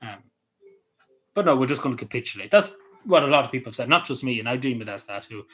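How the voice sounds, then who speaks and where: A man's voice: medium pitch, expressive, fast, fairly bright tone, slightly rough, faint music behind. A man rambling casually on camera, hesitant, with many fillers, restarts and small chuckles. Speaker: vlogger.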